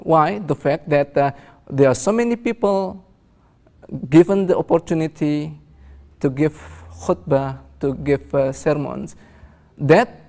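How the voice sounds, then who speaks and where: A man with a gravelly, fry-edged voice pitched a little high speaks very slowly. He chooses his words with care and pauses on fillers, measured and reflective. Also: a little mellow. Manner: slow, deliberate, halting. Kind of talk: reflective interview.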